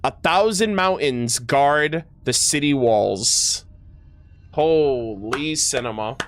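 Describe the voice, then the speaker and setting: A man's voice: medium pitch, animated, takes it slowly, a little hiss or hum. A man doing casual gaming commentary as a streamer, enthusiastic and slangy, often stumbling over his words and reading game text aloud. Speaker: streamer reacting to a video game.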